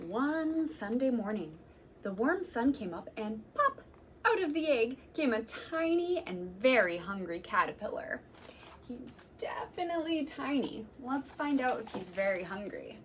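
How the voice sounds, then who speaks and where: Young woman librarian doing storytime, kinda high, bouncy sing-song voice, slow and gentle, little hiss in the room. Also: sing-song. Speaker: children's librarian. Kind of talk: reading a picture book aloud to children.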